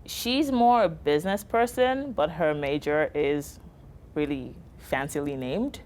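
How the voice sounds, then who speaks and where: A woman's voice: deep voice, measured pace, a little mellow. A young woman from Nigeria, a student guest in an interview, answering in a composed, articulate and dryly witty way. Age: young adult.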